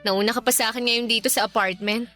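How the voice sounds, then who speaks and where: High, bright-voiced emotional young Filipina. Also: female voice, fast talker, soft background music. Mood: playful, affectionate. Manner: expressive, conversational, informal. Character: Mika, emotional young woman in a troubled relationship. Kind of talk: Filipino radio romance drama acting.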